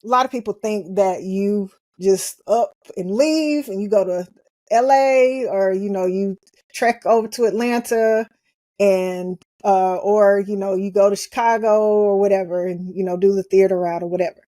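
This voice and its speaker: A woman's voice: medium pitch, moderate pace, mellow, dry recording, studio quality. A woman hosting a podcast, asking rambling casual questions. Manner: chatty, many "you know" fillers. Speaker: podcast host.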